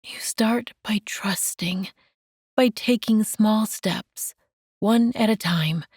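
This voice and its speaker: A woman audiobook narrator plays a caring young woman in a romance story. She speaks slowly in a raised pitch, tender and encouraging with a gentle teasing streak. The recording is dry and close. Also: slightly rough, no room sound.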